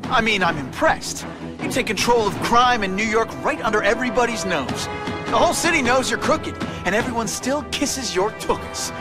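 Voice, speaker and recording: An actor playing a wisecracking, fearless young American superhero in a video game, glib and taunting. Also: male voice, very high voice, expressive, normal speed, loud music behind the voice. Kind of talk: video game cutscene voice acting.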